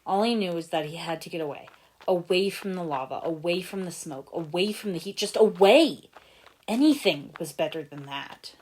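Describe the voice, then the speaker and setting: A woman reading a fan fiction story aloud as an expressive scripted narration. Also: on the low side, normal speed, low-quality recording.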